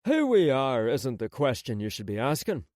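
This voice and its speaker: A man's voice: medium pitch, wildly swooping pitch, normal speed, a little mellow, dry recording, clean studio sound, voice pushed down. A man voicing a flippant, cocky male mobster in an audiobook, with a taunting manner.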